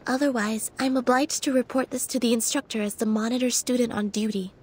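A woman's voice: on the high side, fairly even intonation, brisk, a little mellow, slightly rough. A prim, strict schoolgirl monitor. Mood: stern, dutiful. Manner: prim, formal, stern. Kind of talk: game cutscene acting.

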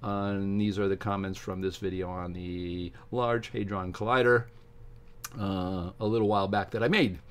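A man's voice: sits low, steady tone. Emphatic middle-aged American man. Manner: emphatic, opinionated, halting when reading aloud. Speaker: science video host. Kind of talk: casual vlog commentary with reading aloud from a book. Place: small home study with bookshelves.

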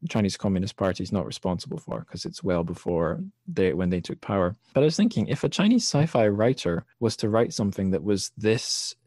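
A man's voice: fairly low pitch, expressive, a little fast, few pauses, darkish tone, dry recording, clean studio sound. A man hosting a podcast, talking casually and a little hesitantly about literature in a thoughtful, self-deprecating way. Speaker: podcast host.

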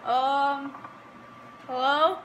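A young woman with a high voice answers slowly and shyly, keeping her replies short and giggly. She speaks from a little away from a phone held by someone else in a car. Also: steady tone, a bit bright, slight background noise. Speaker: friend. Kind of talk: casual chat.

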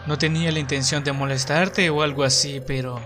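A man with a Latin American Spanish accent playing a cheeky, bitter young enforcer in an anime fan dub, with casual, expressive delivery. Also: on the high side, a little fast, over music, lightly acted. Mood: bitter, intense. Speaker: amateur fandub voice actor.

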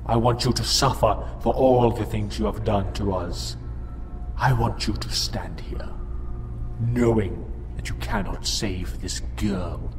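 A man playing a vengeful alien conqueror in an audio drama, speaking with deliberate, cold menace. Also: on the low side. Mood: threatening. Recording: long reverb, music behind.

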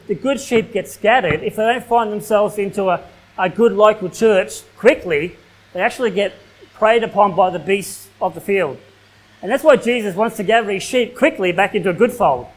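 A man in his thirties with an Australian accent, preaching a sermon in a small church hall and reading scripture aloud between casual remarks. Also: high voice. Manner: preaching at a pulpit, reading King James verses aloud, casual fillers like "like". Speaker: pastor.

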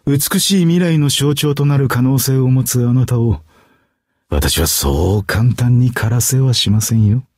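Game role: masked fox phantom thief, flowery and courtly, widely swooping pitch, unhurried, dry close sound. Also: male voice, expressive, darkish tone, breathy edge, no echo. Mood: gently soothing. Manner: flowery, courtly, dramatic, drawn-out phrasing. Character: theatrical masked fox gentleman phantom thief, suave and poetic. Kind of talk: stylized Japanese game character voice acting.

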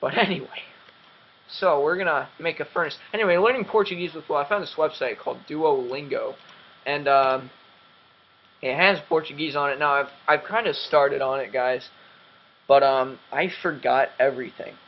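A man's voice: a little high, normal speed, muffled recording. A young man hosting a game commentary, rambling and self-mocking with lots of ums, his voice dry and cracking. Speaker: gaming channel host.

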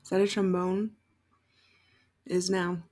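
A woman's voice: fairly low pitch, flat delivery, takes it slowly, heavy background noise. A young woman giving casual, sweary reaction commentary in a room at home. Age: young adult. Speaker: reaction video host. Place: small furnished room at home, indoors.

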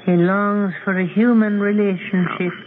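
An actor playing a stern, imperious old god in a 1970s radio drama, speaking in deliberate, pompous pronouncements. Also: male voice, high-pitched, little pitch movement. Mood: scornful, stern. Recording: muffled, hissy old radio broadcast.

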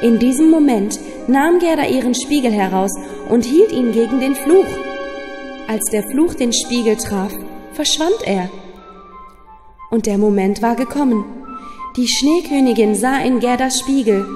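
A woman's voice: fairly high pitch, measured pace, in a large hall. A woman narrating a scripted fairy tale for children as a storyteller. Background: music.

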